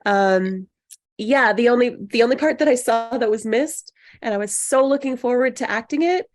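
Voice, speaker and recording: Higher-pitched woman chatting casually. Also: normal speed. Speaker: table read actor. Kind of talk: casual group chat.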